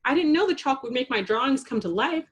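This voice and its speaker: A woman's voice: high-pitched, animated, quick pace, breathy edge, voice pushed up. A woman voicing a sweet, timid, tearful little girl superhero from a children's storybook, plaintive and shaky.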